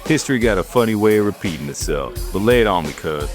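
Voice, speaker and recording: An actor in an audio drama playing a shrewd, domineering gang boss with slangy street talk and corporate airs. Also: male voice, lowish voice, lively intonation, quick pace, faint music behind, lightly acted. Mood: cool, teasing.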